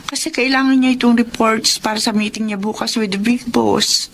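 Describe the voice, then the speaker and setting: An actress playing a dutiful, timid young office employee in an audio drama, hesitant and deferential. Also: female voice, fairly high pitch, steady tone, normal speed. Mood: weary, timid. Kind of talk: radio drama acting.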